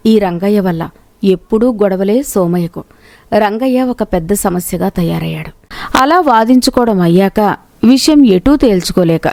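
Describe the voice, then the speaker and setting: A woman's voice: medium pitch, warm tone, dry recording. A woman reading a scripted moral tale as its narrator.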